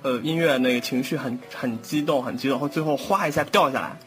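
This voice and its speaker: A man chatting casually in a halting way, often repeating his words. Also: mid-range voice, a bit bright, faint music behind. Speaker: audio drama post-production editor. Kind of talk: casual chat.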